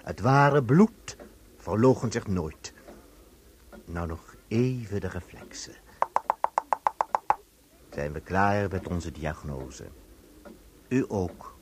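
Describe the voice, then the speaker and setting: An actor playing an officious, erratic inspector of lost souls in an absurdist radio play, lurching between soothing and bullying. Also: male voice, sits low, animated, slow, pauses often, heavy background noise. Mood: satisfied, oily.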